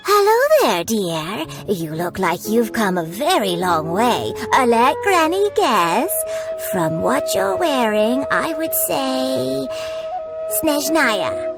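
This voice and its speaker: A kindly old granny from a video game, speaking slowly in a fairly high, swooping voice. She is a little weary and folksy, and music plays behind her. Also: big pitch swings, vocal fry, full character voice. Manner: unhurried, gently folksy storytelling. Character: kindly, weary elderly granny who sells kites. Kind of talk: scripted video game character acting.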